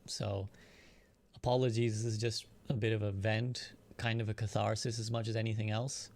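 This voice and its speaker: A man, an online streamer, giving a measured, prepared personal statement in earnest, articulate, formal wording. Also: fairly low pitch, flat delivery, a little mellow.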